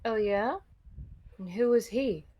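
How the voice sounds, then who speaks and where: A woman's voice: on the high side, slow, mellow. A woman voicing a blunt, commanding adventurer in a visual novel read aloud, with a curt, sardonic, casual delivery. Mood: curious.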